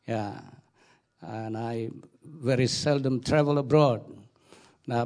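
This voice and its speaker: A middle-aged man from Indonesia with an Indonesian accent, speaking English as a guest pastor in church, pausing often with fillers and repeated words. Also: on the high side, a little mellow. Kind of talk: sermon introduction.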